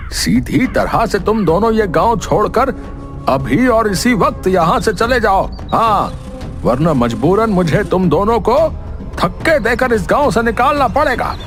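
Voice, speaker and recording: Guy doing an angry village chief telling people off, bossy, swinging pitch, cartoon story. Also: big pitch swings, a little slow, a bit bright, faint music behind, heavily acted. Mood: threatening. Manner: scolding, commanding. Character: angry, stern male village headman.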